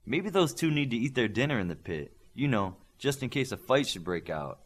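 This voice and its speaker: A man's voice: mid-range voice, very animated, moderate pace. Man voicing a dry, teasing superhero girl. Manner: dry, playful.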